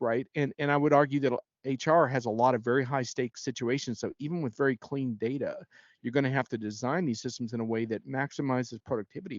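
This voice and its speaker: A man gives conversational expert commentary in a medium-pitched voice. He explains things informally, like a guest analyst, and often checks that listeners follow. The sound is dry and somewhat muffled, with a narrow band. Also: a little mellow, no room sound.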